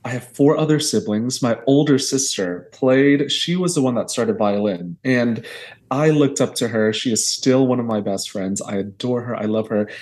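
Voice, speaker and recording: A man's voice: medium pitch, moderate pace, a little mellow. A man in his thirties from Texas, a guest choral conductor talking earnestly in a podcast interview over a video call from a small bedroom. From Houston area, Texas. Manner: earnest, reflective, speaks in long restarted sentences. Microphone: earbuds on a video call.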